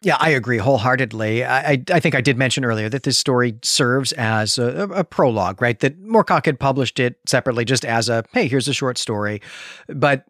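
A man's voice: mid-range voice, a little fast, fairly bright tone, dry recording. Male podcast co-host, mid-range voice, articulate and bookish, enthusiastic delivery, close dry sound. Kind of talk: book discussion podcast, conversational with some read-aloud passages.

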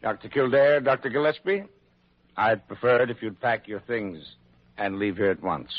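An actor playing an indignant, slippery innkeeper in an old-time radio drama, stiff and affronted, with a husky voice. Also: male voice, fairly low pitch, normal speed, lightly acted. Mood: cold anger. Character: indignant, slippery male holiday-house owner. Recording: muffled old radio recording.

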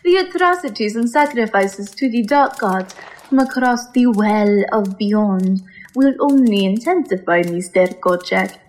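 Lilith, a slow ominous seductress, voiced by a woman. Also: mid-range voice, a little mellow, natural voice, rough recording. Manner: slow, measured, ominous delivery. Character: mysterious, seductive woman of the vampire world. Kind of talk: old-time horror radio play acting.

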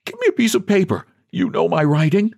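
Man performing haggard desperate man, timid, wailing, pleading, high-pitched, literary audiobook figure. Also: sing-song, a little fast, gravelly, a little mellow, breathy edge, full character voice.

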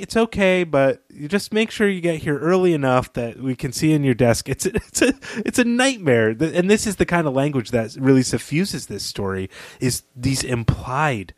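A man's voice: medium pitch, dry recording. Opinionated man in dry, conversational commentary. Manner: opinionated, emphatic. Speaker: podcast co-host.

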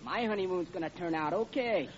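A man's voice: high-pitched, fairly quick, natural voice. An actor playing a polite, eager young man about to be married, in a 1940s radio comedy. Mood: relieved, confident. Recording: muffled, noisy old radio broadcast.

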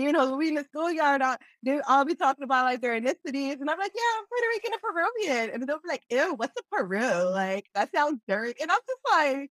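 Fast-talking young woman, candid and slangy. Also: fairly high pitch, dry recording. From Philadelphia, United States. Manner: animated, slangy, candid, emphatic repetition. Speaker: interview guest, entrepreneur. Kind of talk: casual personal storytelling in an interview.

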